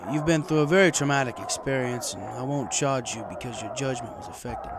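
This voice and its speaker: Grudging store-owner stallion, read by a man, mid-pitched, disgruntled, storybook with audible noise. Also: brisk, close to an everyday voice. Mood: reluctant, apologetic. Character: gruff, begrudging male pony shopkeeper.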